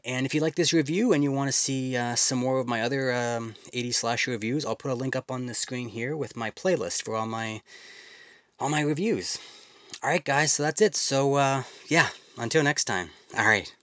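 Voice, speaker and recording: A man's voice: mid-range voice, normal speed, fairly bright tone, dry recording, clean studio sound. A man giving a casual spoken film review, rambling with many fillers and restarts.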